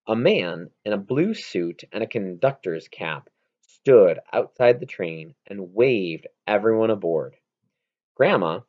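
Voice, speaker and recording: A man reading a children's novel aloud as narration, in an expressive, amateur read-aloud manner. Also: on the low side, dull audio. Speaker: audiobook reader.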